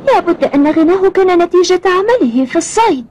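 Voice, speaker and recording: A woman's voice: very high-pitched, animated, quick pace, darkish tone, slight background noise. A woman voicing an earnest, eager young boy in a cartoon, speaking courteously and formally. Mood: polite, earnest. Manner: courteous, formal, clearly enunciated. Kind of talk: Arabic cartoon dubbing.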